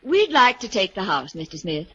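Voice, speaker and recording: An actress playing a cheerful, dismissive wife in a 1940s radio drama, coaxing and sweeping doubts aside. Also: female voice, mid-range voice, big pitch swings, normal speed, sharp tone, husky, exaggerated voice. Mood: dismissive, chiding. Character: cheerful, dismissive wife keen on a new house. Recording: dull old 1940s radio recording.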